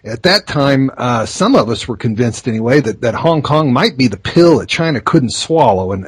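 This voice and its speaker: A man's voice: medium pitch, husky, no room sound. A man hosting an interview podcast, reading a scripted introduction and chatting with his guest. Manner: friendly, conversational, scripted intro. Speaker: podcast host.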